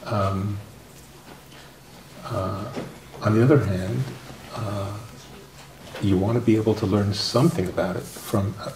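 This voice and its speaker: An older man speaking softly as a guest on a discussion panel, halting with many fillers and restarts. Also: fairly low pitch. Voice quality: soft-spoken. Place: formal room with audience. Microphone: table microphone. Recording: roomy, distant mic, faint noise.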